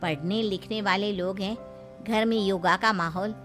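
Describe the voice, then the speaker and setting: A woman's voice: mid-range voice, fairly even intonation, moderate pace, a bit bright, soft background music, lightly acted. A woman voicing a middle-aged neighbour aunty, a polite and persuasive matchmaker in a moral story. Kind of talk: acted moral story dialogue.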